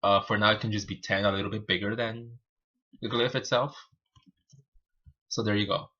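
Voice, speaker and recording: A native Spanish-speaking man teaches programming live, talking through each step informally and haltingly. His voice is fairly low yet bright and forceful. The livestream audio sounds somewhat muffled and lo-fi. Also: fairly even intonation. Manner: halting, informal, self-narrating. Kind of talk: live coding tutorial, thinking aloud.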